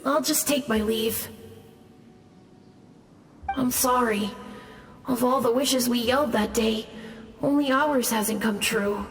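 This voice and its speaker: A wistful young ghost, with a fairly high, soft voice drawn out very slowly. His words hang in a long, ghostly reverb. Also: soft background music, exaggerated voice. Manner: soft, slow, gentle. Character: wistful young male ghost, gentle and grateful. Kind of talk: video game voice acting.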